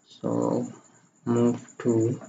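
A man narrating a step-by-step software screencast in a halting, plain-spoken way. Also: medium pitch, completely flat delivery, creaky. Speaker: tutorial presenter. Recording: muffled lo-fi microphone, faint background noise.

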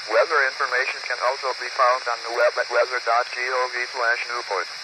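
A man announces on weather radio in a formal, measured way, with a mid-range pitch. Clear radio noise runs through the noisy reception as he reads the scripted broadcast. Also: flat delivery. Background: radio static.

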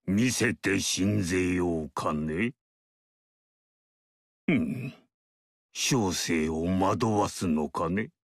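A man voicing an aloof male sage mystic in a video game, speaking in a measured, lofty, archaic way. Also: deep voice, no room sound, clean studio sound, exaggerated voice. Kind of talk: game character voice lines.

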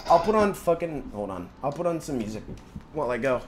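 High-pitched, sweary man streaming a game. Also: very animated, brisk. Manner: shouty, sweary, exasperated outbursts. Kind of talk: excitable gameplay commentary.

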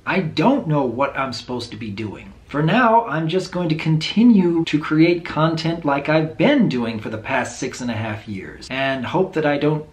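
A man in his thirties with a low voice, talking earnestly to camera in a home video, thoughtful and openly unsure. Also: expressive, normal speed, few pauses, echoey room. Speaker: video creator. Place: small room indoors at home.

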